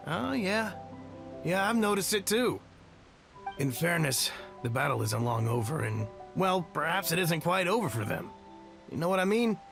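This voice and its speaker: An actor playing a friendly, loyal soldier who talks in a warm, earnest, chatty way. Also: male voice, on the high side, creaky.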